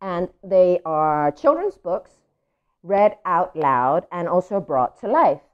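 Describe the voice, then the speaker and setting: A woman hosting a children's story read-aloud, chatting to viewers in an expressive, child-directed way. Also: on the low side, slow pace, lo-fi sound. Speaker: children's story reader and host.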